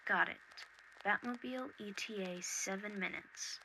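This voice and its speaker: A woman voicing a calm, efficient female comms operator with quiet, clipped reporting in an audiobook. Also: mid-range voice, normal speed, noisy background, close to an everyday voice.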